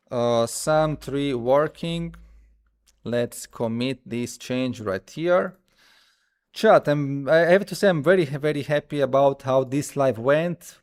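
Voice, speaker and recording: A man who speaks as a hosts a casual live coding stream, thinking aloud haltingly and repeating his phrases as he demonstrates. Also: mid-range voice, a little mellow, clear, resonant tone. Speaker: livestream host.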